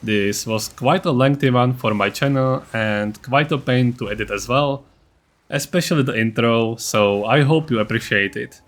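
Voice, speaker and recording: A man with a mid-pitched voice narrates a game build guide as a video creator. His delivery is scripted and matter-of-fact. He sounds a little blunt and self-deprecating as he explains things. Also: moderate pace.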